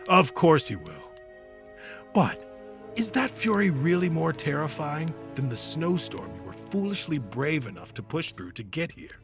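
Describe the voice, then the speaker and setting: A man playing a weary, middle-aged retired hero in a fantasy audio drama, earnest and solemn in his measured speeches. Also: fairly high pitch, very animated, creaky, music in the background, full character voice. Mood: earnest, challenging.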